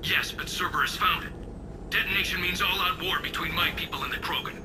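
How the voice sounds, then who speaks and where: An actor playing an earnest young alien lieutenant, clipped and urgent, with a layered alien voice filter. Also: male voice, high voice, a little fast. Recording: noisy battlefield and engines behind.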